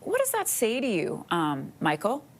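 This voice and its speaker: A woman's voice: mid-range voice, wildly swooping pitch, normal speed, gravelly. A woman anchoring television news, conducting a live interview with a guest.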